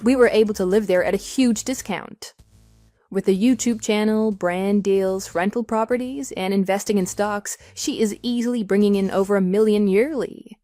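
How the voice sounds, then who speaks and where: A woman's voice: mid-range voice, normal speed, mellow, no room sound, faint music behind, lightly acted. A woman playing a composed, matter-of-fact wife with a dismissive edge in a scripted audio drama. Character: composed, wry wife divorcing her cheating husband.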